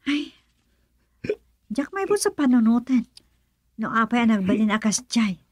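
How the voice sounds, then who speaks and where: An actress playing a frank female confidante in a radio drama, expressive, with a Filipino accent. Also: fairly high pitch, measured pace, no echo, clean studio sound. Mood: explaining. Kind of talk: Ilocano radio drama acting.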